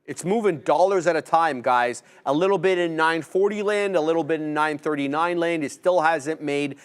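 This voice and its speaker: A young man co-hosting a live trading stream, calling price levels and promoting segments in an energetic, jokey way with trader slang. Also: a little high, normal speed, smooth. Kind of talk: live market commentary.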